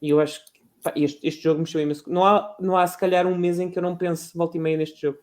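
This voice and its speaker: A man's voice: a little high, flat delivery. Passionate European Portuguese man. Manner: passionate, halting with many restarts. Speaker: podcast guest. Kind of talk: casual chat.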